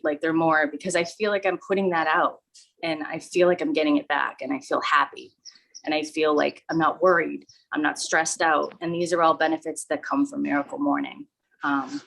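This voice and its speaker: A woman giving a casual, rambling talk, speaking in a flat monotone and using lots of fillers. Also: low voice, gravelly, low-quality recording. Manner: self-described monotone, relaxed and rambling with many fillers. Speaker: guest speaker. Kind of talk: casual talk on a live call.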